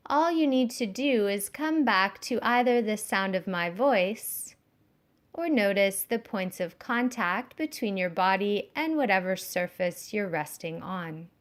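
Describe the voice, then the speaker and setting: A woman giving guided yoga nidra instruction in a soothing, measured, gently instructive way. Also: medium pitch, lively intonation.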